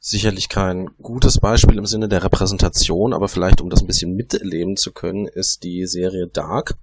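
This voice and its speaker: A man in a casual panel discussion, speaking in a measured, deliberate way. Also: low-pitched, fairly even intonation, husky.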